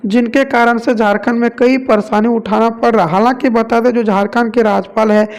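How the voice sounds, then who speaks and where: Male news presenter, very high pitch, flat intonation, gravelly creak. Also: fairly quick. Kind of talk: news narration.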